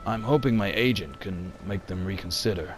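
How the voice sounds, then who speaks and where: A man's voice: low voice, animated, moderate pace, soft background music, voice pushed down. A man voicing a deflated middle-aged male TV presenter who speaks hesitantly and stammers when pressed. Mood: defensive. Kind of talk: amateur motion comic voice acting.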